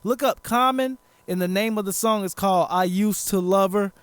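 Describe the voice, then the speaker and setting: A man's voice: high voice, moderate pace, smooth, no echo. A man hosting a casual podcast chat, rambling, joking and swearing a lot. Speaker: podcast host.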